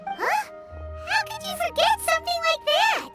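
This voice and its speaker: Tiny cheeky fairy sidekick, extremely high and squeaky, animated game acting over loud music. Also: female voice, unhurried. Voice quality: squeaky, childlike. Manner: pert, refers to self in third person. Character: tiny floating girl fairy companion, cheeky, chatty. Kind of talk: English video game character acting.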